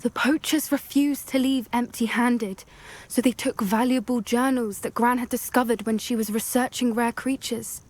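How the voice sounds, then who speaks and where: A woman voicing an earnest, determined teenage witch in a video game, with a British accent and an articulate, quietly intense manner. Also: a little high, steady tone, normal speed, natural voice. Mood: distressed. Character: earnest, determined teenage witch schoolgirl who loves creatures. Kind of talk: cinematic game dialogue acting. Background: background music.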